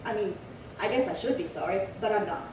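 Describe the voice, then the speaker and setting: A woman's voice: medium pitch, brisk, close to an everyday voice. A woman playing a resentful, defiant seventy-nine-year-old spinster in an amateur old-time radio crime drama, querulous and emphatic. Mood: smugly satisfied. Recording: roomy echo, distant mic, faint hiss.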